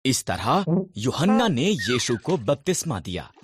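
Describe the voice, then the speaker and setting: A narrator telling scripted Bible stories for children in a deliberate storytelling delivery. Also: medium pitch, sing-song, a little hiss or hum. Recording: background music under the narration. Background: music.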